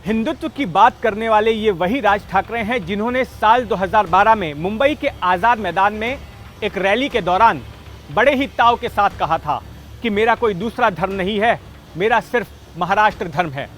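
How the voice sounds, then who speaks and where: A man's voice: sits high, lively intonation, moderate pace, fairly bright tone. A man narrating a scripted political news explainer in an emphatic storytelling manner. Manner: emphatic storytelling with rhetorical questions. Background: background music.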